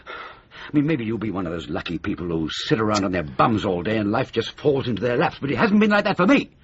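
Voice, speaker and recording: An actor playing a self-pitying, middle-aged comedy writer and father in a British radio comedy-drama, halting and exasperated in his delivery. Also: on the low side, big pitch swings, dull audio, a little hiss or hum. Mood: defensive, earnest.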